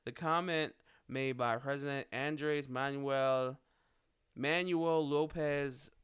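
Bright-voiced man chatting casually. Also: medium pitch, steady tone, slow pace, clear, resonant tone. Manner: swears freely, laughs often, trails off and restarts. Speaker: podcast co-host. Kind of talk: casual chat, reading news headlines.

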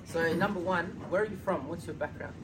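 Man hosting a talk, polite, voice a touch high, distant mic, noisy background. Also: brisk. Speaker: event host. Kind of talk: moderating a talk.

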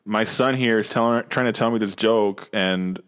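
Low-voiced American man chatting casually. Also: normal speed, fairly bright tone. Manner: rambling, slangy, repeats himself. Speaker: podcast co-host. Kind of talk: casual chat.

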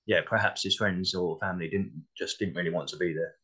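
A man's voice: sits low, steady tone, fairly quick, bright, forceful tone, husky. A man from Essex with a southern English accent, a podcast guest chatting casually and hesitantly, often repeating the starts of his words. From Essex, England. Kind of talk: casual discussion.

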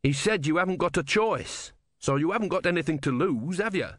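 A man's voice: fairly high pitch, expressive, fairly quick, a bit bright, dry recording, studio quality, pitched above the performer's own voice. A man voicing an earnest young male novice priest in an audiobook story, hesitant and plain-spoken. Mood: determined.

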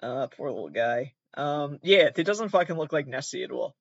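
Rambling, swearing man with a higher voice. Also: animated, moderate pace, gravelly, no echo, dull audio. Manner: rambling, swearing, self-deprecating. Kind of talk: comedic commentary on pranks.

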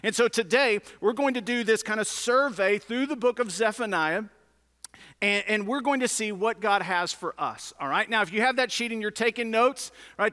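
A man's voice: high-pitched, bright, forceful tone. A man preaching a teaching sermon as a pastor, in a conversational style with emphatic repetition and rhetorical questions. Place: large indoor room, preaching to a congregation. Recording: reverberant large room sound.

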